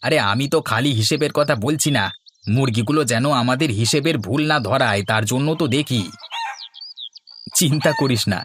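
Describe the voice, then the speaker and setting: A man's voice: medium pitch, moderate pace, fairly bright tone, a little hiss or hum, natural voice. A man playing an earnest village chicken farmer in scripted cartoon dialogue.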